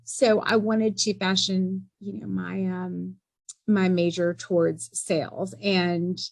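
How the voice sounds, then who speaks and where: A middle-aged woman speaks as an entrepreneur guest in a podcast interview. She is earnest and measured, with frequent fillers like "you know" and "um". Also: lowish voice, level tone, creaky, darkish tone.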